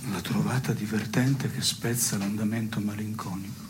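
An actor playing a melancholy, self-ironic man in a radio theatre piece, speaking in a hushed, deliberate, literary way. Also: on the low side, fairly even intonation, natural voice. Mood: resigned, ironic. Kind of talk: avant-garde radio theatre dialogue. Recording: noisy recording with chirping cricket sounds.

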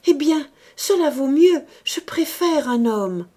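A woman's voice: mid-range voice, a little slow, a little mellow, natural voice. A woman voicing a distraught, guilt-ridden married woman who pleads and confesses feverishly, in dramatic audiobook style. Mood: feverish confession. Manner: pleading, exalted, breaking into whispers. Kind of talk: dramatic audiobook character acting.

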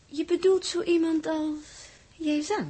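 A woman's voice: very high-pitched, steady tone, a little slow, dark tone. A woman with a Netherlands Dutch accent playing a coy, probing young crew member in a 1960s radio drama, with hesitant asides and pointed questions. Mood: coy, teasing. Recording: muffled 1960s radio recording.

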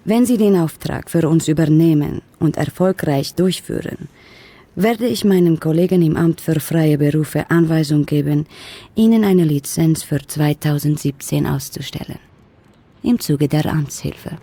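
An actress playing a composed, businesslike government official in a radio drama, measured, discreet and matter-of-fact. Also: female voice, sits low, mellow, dry recording. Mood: businesslike, persuasive.

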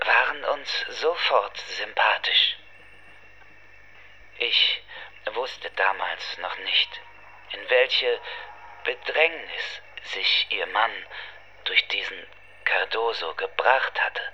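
An actor playing a formal, courteous Congolese state security official with veiled menace in a radio play. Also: male voice, on the low side, bright, forceful tone, breathy edge, dull audio, heavily acted. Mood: politely regretful. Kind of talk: radio play dialogue and recollection.

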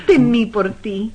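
Extremely expressive, theatrical lady. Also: on the high side, a little hiss or hum, heavily acted. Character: affectionate, theatrical woman, sculptor's companion. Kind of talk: radio drama acting.

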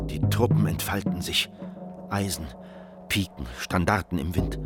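Young revolutionary narrator, audio drama: deep bass, grave, clipped literary phrasing, heavy music bed. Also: male voice. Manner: grave, terse, literary delivery in fragments. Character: young male revolutionary narrating his peasant war. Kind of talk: scripted first-person audio drama narration. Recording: loud music over the voice. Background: loud music.

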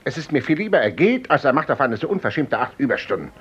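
An actor playing a gruff, pompous company boss in a 1950s German radio drama, curt and commanding. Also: male voice, medium pitch, sing-song, fast, fairly bright tone. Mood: stern, irritated. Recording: muffled vintage radio recording.

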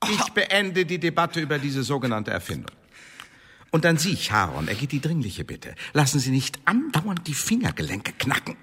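An actor playing a stern, dismissive Elizabethan intelligence chief in a radio drama, curt, sardonic and commanding. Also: male voice, a little high, wildly swooping pitch, moderate pace, bright, forceful tone, breathy edge, exaggerated voice. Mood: curt, irritated. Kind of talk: German radio drama acting. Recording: long hall reverb.

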